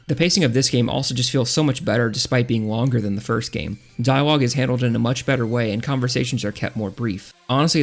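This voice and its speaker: A man's voice: mid-range voice, gravelly. A man narrating a scripted video game review in a casual, blunt, opinionated way. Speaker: video essayist reviewing video games.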